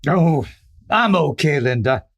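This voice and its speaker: A man's voice: on the high side, lively intonation, slow, breathy edge, highs cut off, full character voice. A man playing a worried, hot-tempered rancher with a rustic cowboy drawl in a Western radio drama.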